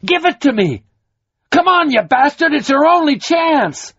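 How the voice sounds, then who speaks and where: A man voicing a desperate, furious young warrior who shouts stridently, on a dull lo-fi recording. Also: very high-pitched, big pitch swings, unhurried, dry recording, pitched above the performer's own voice, full character voice.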